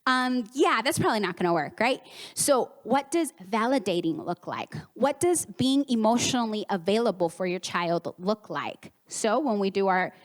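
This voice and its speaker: Woman therapist, first language Spanish, conversational conference talk, middle pitch, long hall reverb. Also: expressive, moderate pace, few pauses. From United States, Mexican home. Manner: warm conversational with acted-out quotes and laughs. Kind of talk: conference presentation.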